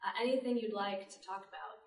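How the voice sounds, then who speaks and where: A woman's voice: mid-range voice, fairly even intonation, quick pace. A woman chatting casually as the moderator of a live panel. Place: public library panel room. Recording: roomy, distant microphone.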